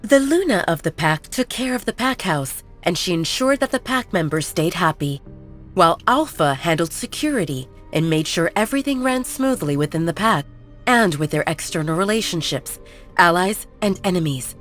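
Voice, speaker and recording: A woman narrating a romance audiobook at a steady storytelling pace. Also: lowish voice, moderate pace. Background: soft music.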